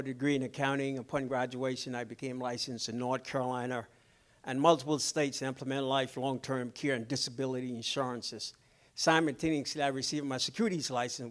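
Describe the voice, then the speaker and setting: A middle-aged man from the Bahamas with a Bahamian accent, presenting a financial seminar in a measured, businesslike way and checking in with his audience. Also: medium pitch.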